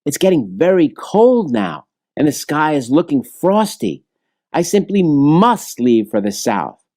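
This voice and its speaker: A man's voice: a little high, big pitch swings, a little slow, dark tone, pitched above the performer's own voice, exaggerated voice. A man voicing a small, anxious, kindhearted bluebird who protests earnestly in a children's storybook. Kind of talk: children's storybook read-aloud.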